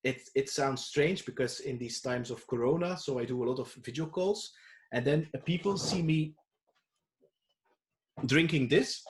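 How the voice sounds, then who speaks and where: A man from Belgium with a Flemish accent, a podcast host speaking in halting English with frequent restarts and questioning tags. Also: mid-range voice. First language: Dutch. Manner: hesitant, halting, tag "eh?" fillers. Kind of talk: conversational interview.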